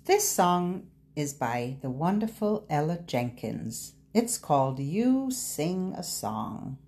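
A woman's voice: low voice, sing-song, slow, a little mellow, slight background noise. A woman hosting a children's show, presenting in simple phrases for babies and toddlers. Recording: music behind the voice. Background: music.

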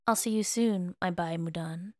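A woman's voice: on the low side, moderate pace, lightly acted. Woman voicing an impulsive, pouty young male emperor. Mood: soft, tender. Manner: pouty, groaning, urgent.

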